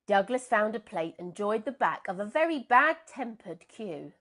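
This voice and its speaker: A woman reading a children's storybook aloud in an expressive, unhurried and dramatic way. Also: mid-range voice.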